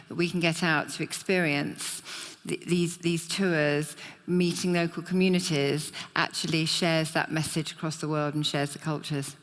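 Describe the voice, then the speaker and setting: A woman on a trade fair panel talks in a flat, even tone, full of ums and restarts. A long hall reverb trails after her words. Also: deep voice. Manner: flat delivery with many fillers. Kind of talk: panel discussion.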